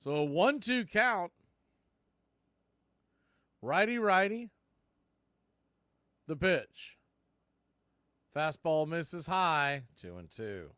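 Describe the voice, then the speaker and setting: Male baseball play-by-play announcer, high register, slow folksy delivery in clipped phrases, dry sound. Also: big pitch swings, fairly bright tone, clean tone, no echo. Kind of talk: live play-by-play commentary.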